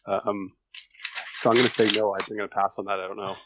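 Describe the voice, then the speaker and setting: A man's voice: fairly low pitch, level tone, a little fast, highs cut off, background noise. A man in casual podcast chat as a guest, offhand and noncommittal. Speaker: podcast guest, fan fiction author.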